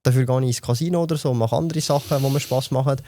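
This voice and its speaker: A man's voice: medium pitch, rapid-fire, mellow, a little hiss or hum. A man in his thirties with a Swiss German accent, explaining investing as a podcast guest, with lots of fillers and restarts. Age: 30s. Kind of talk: casual podcast chat.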